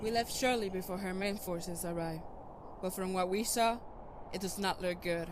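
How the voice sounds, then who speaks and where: A woman's voice: on the low side, moderate pace, lightly acted. A woman playing a poised elf sister, over noise. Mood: resolute. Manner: poised and reproachful. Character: composed, reproachful elven sister, confident. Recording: background noise.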